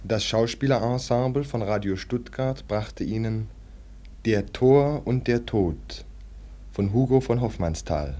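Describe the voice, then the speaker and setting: A man announces a cast in old-fashioned formal radio style. His voice is fairly low and dark. He reads precisely, leaving space between names at a slow overall pace.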